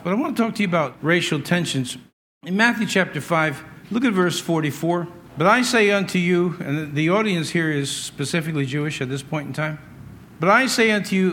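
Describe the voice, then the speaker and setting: Male preacher, American accent, slightly raised pitch, emphatic conversational sermon delivery, faint background noise. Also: fairly bright tone. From United States. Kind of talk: sermon, partly conversational with scripture readings.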